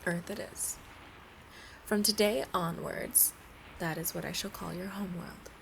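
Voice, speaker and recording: A woman's voice: lowish voice, fairly even intonation, a little slow, gravelly, noisy background. A woman playing a playful, pleading young alien princess at college in an ASMR roleplay, chatting and coaxing the listener directly. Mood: apologetic, earnest.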